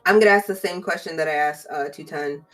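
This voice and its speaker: Low-voiced woman in her thirties. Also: fast talker, fairly bright tone, far from the mic, soft background music. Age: 30s. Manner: easygoing, asks questions. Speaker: podcast co-host. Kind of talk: casual podcast chat.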